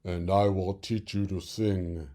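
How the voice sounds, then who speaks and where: An old storybook frog acted by a man croaks in a dark, mellow voice. He is a schoolmaster, gruff but kindly, drawing out his words. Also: sits low, fairly even intonation, slow.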